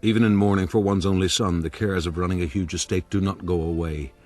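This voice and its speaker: A man playing a scheming, self-pitying gentleman rogue who narrates his own life in a formal, self-justifying way for a radio drama. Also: low-pitched, fairly even intonation, fast, soft background music. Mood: bitter, calculating.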